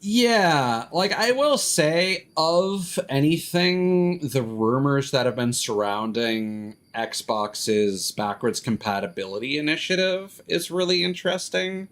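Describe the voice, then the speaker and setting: A man's voice: fairly high pitch, expressive, slow pace, few pauses, a bit bright. A man live streaming a game, chatting casually with rambling, profane, opinionated commentary. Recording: music behind the voice.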